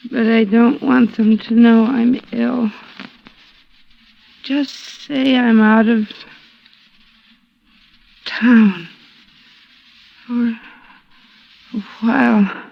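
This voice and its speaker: An actress playing a drugged, confused, frightened captive wife in a 1950s radio drama, speaking weakly and haltingly. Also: female voice, a little high, steady tone, creaky, full character voice. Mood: dazed, weak. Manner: weak, halting, dazed. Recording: muffled vintage radio recording.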